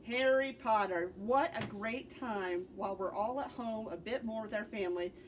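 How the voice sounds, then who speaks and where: A woman's voice: medium pitch, moderate pace, a little hiss or hum, poor audio. A middle-aged American woman, a librarian host, gives informal book recommendations in an explanatory way, stressing key words.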